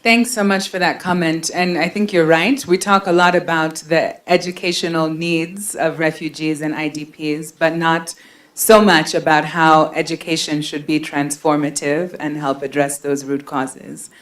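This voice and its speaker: Low-voiced woman moderating a panel. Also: little pitch movement, a bit bright. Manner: brisk, affirming. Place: conference hall.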